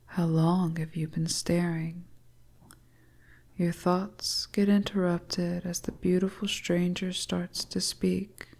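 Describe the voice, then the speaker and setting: Female hypnotic storyteller, breathy whisper, low and mellow, very measured. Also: level tone. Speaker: audio storyteller. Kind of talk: hypnotic scripted second-person narration.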